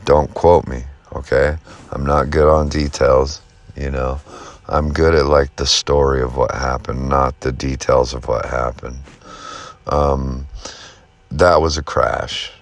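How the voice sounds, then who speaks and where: A man's voice: rumbling low, level tone, slow pace, gravelly. A man giving casual, off-the-cuff market commentary as a video host, in a folksy, self-deprecating way.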